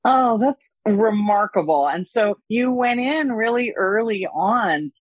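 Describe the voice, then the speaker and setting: A woman's voice: mid-range voice, lively intonation, slow, husky, no room sound, muffled recording. A woman hosting a podcast, chatty and enthusiastic as she asks her guest questions. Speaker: podcast host. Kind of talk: casual interview.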